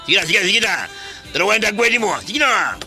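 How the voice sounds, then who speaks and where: A very sharp, bright voice of a bossy nightclub madam, acted by a man, giving brisk orders in a Filipino radio drama. Music plays behind the voice. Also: high-pitched, lively intonation, vocal fry, full character voice. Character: bossy club madam ordering dancers around.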